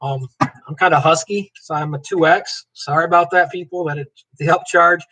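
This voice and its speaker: Guy rambling on his live q&a, bright lively tone, kinda high voice, joking with chat. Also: moderate pace, poor audio. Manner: rambling, joking, chats to viewers by name. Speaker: livestream host. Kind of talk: casual livestream chat reading comments.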